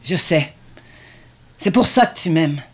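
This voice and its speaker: A woman's voice: low voice, animated, slow pace, very noisy. A woman playing a needy, sardonic grown son devoted to his mother, in a dramatic script reading. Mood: teasing, coaxing.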